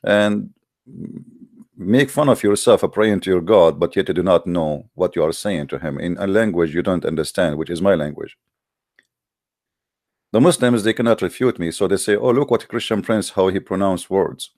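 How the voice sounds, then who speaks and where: A man who is not a native English speaker talks to his viewers as an online debate host, combative and emphatic, stumbling over his grammar with many hesitations. His voice sits very low. The sound is dry and close, with no room around it. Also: normal speed, darkish tone, dry recording. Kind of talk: addressing viewers before taking calls.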